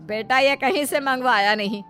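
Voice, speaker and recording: A woman's voice: high voice, moderate pace, fairly bright tone, faint music behind, voice pushed up. A woman voicing a practical middle-aged mother-in-law, chiding yet patient, in a cartoon moral story. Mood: patient, explaining. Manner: chiding, explanatory. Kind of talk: animated Hindi moral story dialogue.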